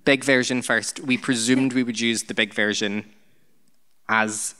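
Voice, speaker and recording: A man's voice: mid-range voice, steady tone, unhurried, pushed and bright, smooth. A man on a theatre panel, answering a question briefly and matter-of-factly. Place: theatre stage.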